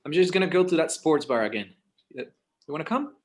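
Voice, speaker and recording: A casual grown son in a drama script, read by a male actor. His voice is slightly high, with a hesitant, conversational delivery. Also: lively intonation, a little fast, close to an everyday voice. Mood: hesitant, placating. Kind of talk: screenplay table read.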